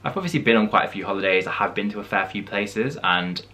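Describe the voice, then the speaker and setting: A man's voice: lowish voice, fast, pushed and bright. A young man in his twenties from the English Midlands, chatting casually as he answers viewer questions in a vlog. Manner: chatty, reflective, frequent "like" and "um" fillers. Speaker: vlogger.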